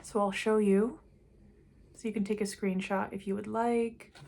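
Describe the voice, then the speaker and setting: Slow, drifting woman reading cards. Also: mid-range voice, level tone, vocal fry, slight background noise. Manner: slow, meandering, trailing off into quiet asides. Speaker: intuitive card reader. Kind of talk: unscripted spiritual reading to camera.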